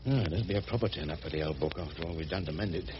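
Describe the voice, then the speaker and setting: A dull radio drama recording with clear noise behind it carries a man acting a rough, good-hearted boatman. He has a very low voice and a working-class English accent. His talk is colloquial and excitable, that of a plain working man. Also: very fast, natural voice. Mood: wry, worried.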